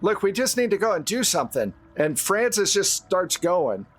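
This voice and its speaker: A man's voice: sits high, sing-song, moderate pace, husky. A man running a horror tabletop roleplaying game, narrating scenes descriptively and conversationally. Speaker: game master.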